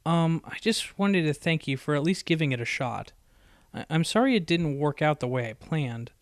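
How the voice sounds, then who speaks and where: A man's voice: fairly high pitch, lively intonation, normal speed, dry recording, studio quality. A man voicing a brash but easily sheepish young female pegasus pony in an audiobook, with a stammering, eager manner. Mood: sheepish, apologetic. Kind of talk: acted audiobook dialogue.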